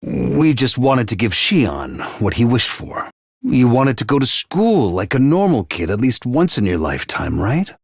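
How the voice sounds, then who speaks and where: A man voicing an excitable, theatrical mascot commander of a military school in a video game, speaking emphatically. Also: medium pitch, unhurried, creaky, breathy edge, no echo, studio quality, heavily acted. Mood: gentle, apologetic. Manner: theatrical, emphatic, swinging from grave to bombastic. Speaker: commander addressing students. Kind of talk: animated video game voice acting.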